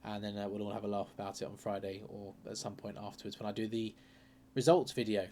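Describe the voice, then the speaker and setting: A man hosting a political channel, talking casually and hesitantly through by-election numbers, his voice a bit croaky from a cold. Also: on the low side, flat delivery, quick pace, mellow, a little hiss or hum. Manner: chatty, hesitant, lots of ums and uhs. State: croaky with a sore throat and cold. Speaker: political commentary channel host.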